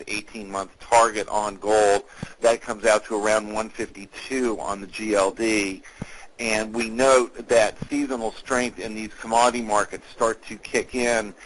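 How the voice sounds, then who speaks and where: A man hosting a call as an analyst, giving a semi-scripted market briefing in a measured, businesslike, explanatory way. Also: fairly low pitch, a bit bright, slight background noise, poor audio.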